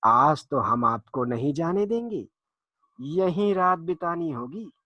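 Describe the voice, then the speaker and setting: Man as a sly folktale swindler, loud, drawn-out, swooping pitch, oily hospitality. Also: lively intonation, no echo, highs cut off. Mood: gushing, insistent. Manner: drawn-out, gushing welcome. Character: sly male swindler, effusively fake-friendly village trickster.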